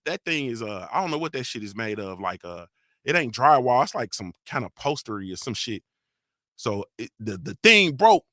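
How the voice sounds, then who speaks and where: A man's voice: a little high, pushed and bright, dry recording, studio quality. A man hosting a morning show, chatting loosely and cussing often, with slangy storytelling and constant "you feel me" tags. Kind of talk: casual live-stream chat reading comments.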